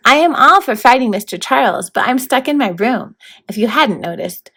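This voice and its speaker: A woman's voice: a little high, expressive, normal speed, pushed and bright, breathy edge, voice pushed up, full character voice. A woman voicing a plucky, wry young schoolgirl heroine with a casual, questioning manner in a storybook read-aloud. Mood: sceptical, wry.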